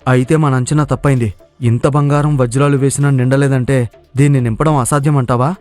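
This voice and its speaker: A man's voice: mid-range voice, steady tone, brisk, a little mellow, faint music behind, close to an everyday voice. A man voicing an easygoing young villager in a cartoon moral story, questioning and sceptical in manner. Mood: doubtful. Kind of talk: dramatised moral story dialogue.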